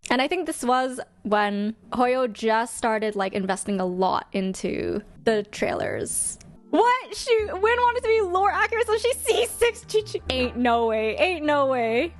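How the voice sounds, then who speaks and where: High, animated young-sounding woman, chatty and playful. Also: unhurried, dry recording. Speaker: host ranking game trailers. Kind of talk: casual reaction commentary.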